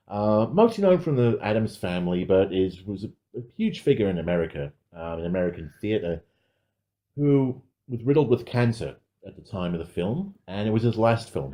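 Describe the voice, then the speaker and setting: A man's voice: fairly low pitch, warm tone. A man giving casual review commentary as a podcast panelist, with a wry, dry humour.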